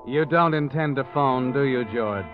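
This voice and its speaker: A man's voice: medium pitch, moderate pace. A man narrating a radio drama in the second person, insinuating and leading. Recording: dull old radio recording. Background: dramatic orchestral music.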